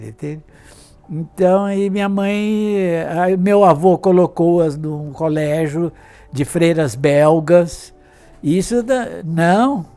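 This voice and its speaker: Elderly man, 80s, from Brazil, high voice, rambling reminiscence, faint music behind. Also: dark tone. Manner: rambling, with many restarts and tag questions. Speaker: interviewed artist and fashion designer. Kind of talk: reminiscing in an interview.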